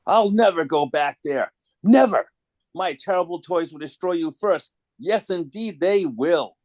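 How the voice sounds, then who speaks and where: A man's voice: a little high, animated, a little slow, a little mellow, no room sound. A man playing an unhinged, menacing toy-making villain in a melodramatic comic book read. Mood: defiant, ranting. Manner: melodramatic, quirky verbal tags. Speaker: performer in a dramatic comic book table read.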